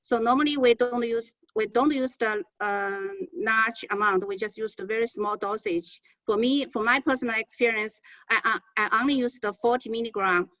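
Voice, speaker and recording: A woman physician from China with a Chinese accent, speaking halting non-native English with repeated words as she presents to colleagues on a medical conference call. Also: on the high side, steady tone, a bit bright, no room sound. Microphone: conference call connection. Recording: dull, band-limited conference call audio.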